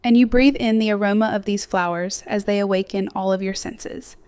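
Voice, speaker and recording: A woman gently guiding a sleep hypnosis meditation in a slow, soothing, scripted delivery with softly trailing phrases. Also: medium pitch, steady tone, smooth, no room sound. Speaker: hypnosis guide.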